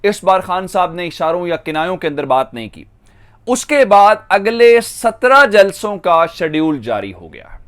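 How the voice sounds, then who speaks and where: Pakistani guy doing news commentary, pretty high voice, leans hard on key phrases. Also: a bit bright. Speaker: news commentator and channel host. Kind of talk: political news monologue for an online video channel.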